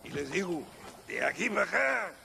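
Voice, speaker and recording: A man's voice: high voice, sing-song, fast talker, creaky, noisy background, full character voice. An actor playing a crude Mexican bandit henchman in a game cutscene, with a Mexican accent. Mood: crude, boastful. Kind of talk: video game cutscene acting.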